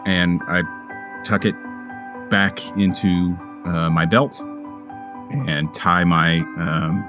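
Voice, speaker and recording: A man improvising first-person narration as a player in a tabletop game, halting and deliberate with dramatic pauses. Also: deep voice, steady tone, music in the background.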